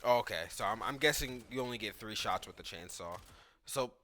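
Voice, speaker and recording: A man's voice: lowish voice, animated, normal speed, pushed and bright, slight background noise. A man giving casual, analytical commentary on video game footage.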